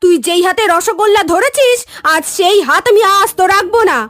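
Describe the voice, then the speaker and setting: A woman playing a cruel stepmother in a cartoon story, scolding and threatening in a shrill manner. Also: brisk, fairly bright tone, dry recording, soft background music. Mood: furious.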